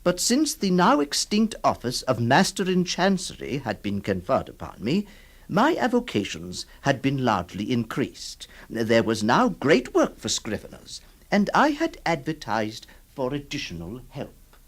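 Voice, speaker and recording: A man's voice: medium pitch, wildly swooping pitch, a little slow. A man playing a flustered middle-aged lawyer who narrates and stammers, in an old radio drama. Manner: hesitant, stammering, fussy. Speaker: narrator and lead actor.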